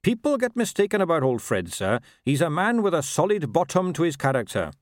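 An upright young police captain from an audiobook, played by a male narrator, speaks with brisk, formal courtesy. His voice sits fairly high and moves in lively swings, very earnest and dutiful. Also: dry recording, studio quality. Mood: earnest, resolute.

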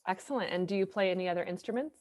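A woman's voice: medium pitch, very fast, a little mellow. A woman hosting a podcast interview, upbeat and encouraging toward her guests. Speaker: podcast host.

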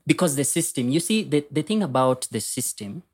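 A man with a Kenyan accent and a husky voice, talking candidly as an interview guest, emphatic and often repeating his phrases. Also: medium pitch, lively intonation, fairly quick. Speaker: podcast guest. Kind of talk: candid personal storytelling interview.

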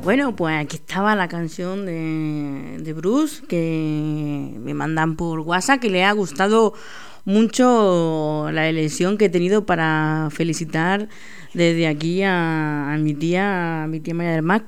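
A woman's voice: deep voice, unhurried, clear, resonant tone. A woman hosting a local radio show in a chatty, laughing, self-deprecating way. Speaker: radio host. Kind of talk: casual local radio chat.

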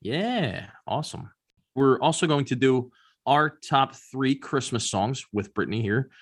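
A man's voice: medium pitch, expressive, measured pace, husky. A man co-hosting a podcast in casual, informal conversation, freely giving his opinions. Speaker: podcast co-host. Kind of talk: casual chat.

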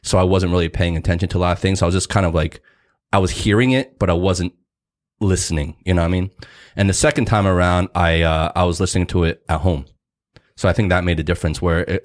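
A man co-hosting a podcast, talking at length in a loose, conversational way. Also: low voice. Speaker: podcast co-host. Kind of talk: casual podcast chat.

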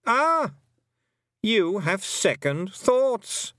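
A man's voice: high-pitched, big pitch swings, drawn-out words, bright, forceful tone, no room sound, clean studio sound, pitched above the performer's own voice, heavily acted. A man voicing a haughty fairy Queen in a storybook reading, condescending and falsely sweet, drawing out the words. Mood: mocking. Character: haughty, coldly mocking fairy Queen, grown woman. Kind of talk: audiobook character acting.

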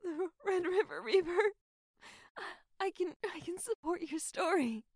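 An actress playing a frightened but defiant captive in an audio drama, emotional and biting. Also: female voice, very high-pitched, animated, unhurried, no room sound, studio quality. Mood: desperate pleading. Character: terrified but defiant young female captive of a killer.

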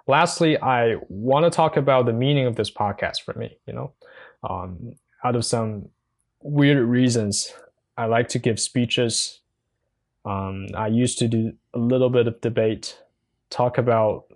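A young man from China with a Mandarin Chinese accent, a non-native speaker hosting his own podcast, talking earnestly and carefully with frequent pauses and fillers. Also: medium pitch. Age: young adult. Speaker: podcast host. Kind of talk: solo podcast monologue.

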